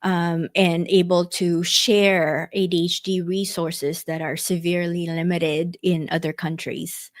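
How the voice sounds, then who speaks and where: A woman's voice: on the low side, flat delivery, unhurried, hardly any pauses. A middle-aged woman from the Philippines hosting an online workshop, chatty, with many fillers and restarts, speaking into a computer mic. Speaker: workshop host. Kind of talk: casual online workshop presentation.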